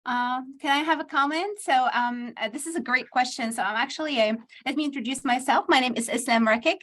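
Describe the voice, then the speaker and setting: A fairly high-voiced woman speaks over a video call. She talks earnestly as a professor explaining her training model, and she keeps circling back with fillers and small restarts. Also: brisk, a bit bright. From Tunisia. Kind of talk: spontaneous explanation in a virtual panel.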